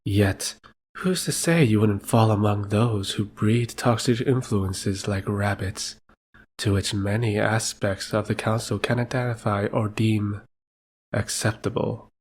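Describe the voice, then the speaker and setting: An actor playing a formal, accusing fantasy council lord in an audio drama, speaking in a measured, pointed way. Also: male voice, fairly low pitch, steady tone. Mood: coolly accusing. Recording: faint background noise.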